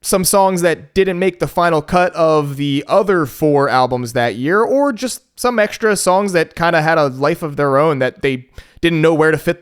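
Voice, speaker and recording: Male co-host, fairly high and smooth, loose joking delivery with lots of fillers. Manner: rambling, joking, frequent fillers. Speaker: podcast co-host. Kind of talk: casual podcast chat.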